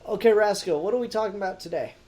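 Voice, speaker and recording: A man's voice: high-pitched, fast talker, darkish tone. Wry, rambling male podcast host. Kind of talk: casual review discussion.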